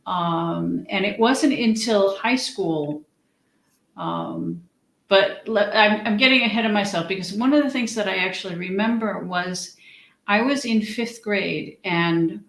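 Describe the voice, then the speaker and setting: A woman's voice: mid-range voice, measured pace, vocal fry, fairly bright tone. Hesitant woman in an echoey room. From United States. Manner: hesitant, frequent restarts and ums. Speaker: guest. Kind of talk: conversational interview.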